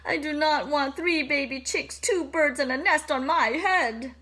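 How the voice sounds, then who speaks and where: A woman's voice: sits high, a little slow, pushed and bright, voice pushed up. A woman voicing an anxious male elephant in a storybook, with fretful, exclaiming questions. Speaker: storybook character.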